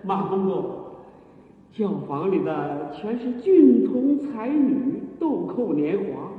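A man's voice: sits high, big pitch swings, takes it slowly, exaggerated voice. An actor playing a fawning, blustering palace eunuch steward in a Chinese period radio drama, obsequious to his betters and barking at underlings. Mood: nervous, pleading. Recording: phone-like lo-fi, long echoing reverb.

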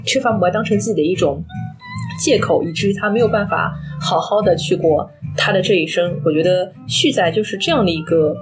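A woman's voice: lowish voice, brisk, music in the background, poor audio. A woman co-hosting a podcast, talking through a film in a reflective, analytical, conversational way. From Shanghai, China. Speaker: podcast co-host.

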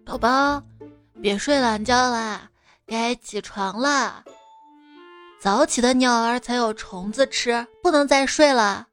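A woman's voice: on the high side, slow pace, fairly bright tone. A woman playing a playful, teasing mother who coaxes a stubborn child in a comic bedtime sketch. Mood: fond, amused. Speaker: storyteller and performer. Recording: music behind the voice.

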